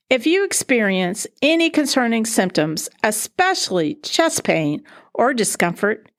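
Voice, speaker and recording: A woman podcast reporter reading a scripted news narration. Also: mid-range voice.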